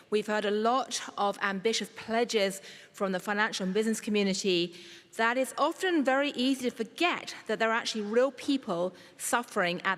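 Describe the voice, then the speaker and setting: A woman's voice: mid-range voice, a bit bright, long reverb tail. A woman hosting a live conference, introducing speakers and stopping for brief asides. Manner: fluent, stops for asides while sorting notes. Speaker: conference host.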